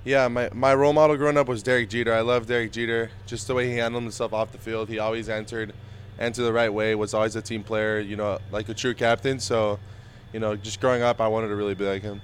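A man's voice: lowish voice, level tone, fast, slight background noise. A young adult man, a Spanish native speaker, answering interview questions in an easygoing way with plenty of fillers. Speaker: interview guest, baseball prospect.

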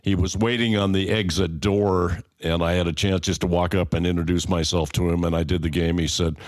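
A man's voice: sits low, level tone, fairly quick, dry recording. A man chatting casually as a podcast co-host, affirming and often repeating his phrases. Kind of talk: casual chat.

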